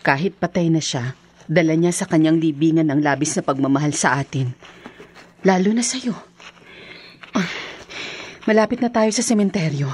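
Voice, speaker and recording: An actress playing a gentle, reassuring mother in a radio drama, soothing and earnest. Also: low-pitched, normal speed, long pauses, slight background noise, natural voice.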